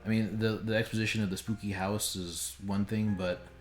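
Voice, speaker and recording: Low-voiced man streaming in a roomy space. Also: little pitch movement, a little fast. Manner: rambling, opinionated, sweary. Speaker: game streamer and developer. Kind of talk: casual live stream commentary. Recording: roomy sound under game audio. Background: game music.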